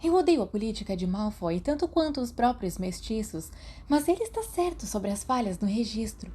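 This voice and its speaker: A woman's voice: a little high, big pitch swings, moderate pace, dark tone, breathy edge, pitched above the performer's own voice. A woman with a Brazilian Portuguese accent voicing a blunt, stubborn young witch student who talks in an insistent whisper.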